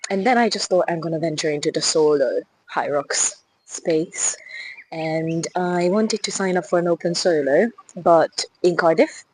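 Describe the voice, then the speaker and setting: A woman's voice: on the low side, a little slow, darkish tone, a little hiss or hum, low-quality recording. A young woman from Hungary with a Hungarian accent, chatting enthusiastically to camera as she walks along a river path. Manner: chatty and enthusiastic, with restarts and light laughs. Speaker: fitness ambassador. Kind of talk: casual vlog while walking.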